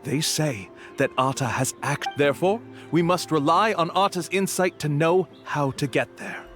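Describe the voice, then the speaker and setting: A courteous young guide acted by a man makes a polished sales pitch in a game. His voice sits fairly high for a man, formal and flowery, over light background music. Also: normal speed, fairly bright tone, close to an everyday voice. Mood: earnest, explanatory. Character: polite young male tour guide, smooth-talking salesman. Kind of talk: video game voice acting.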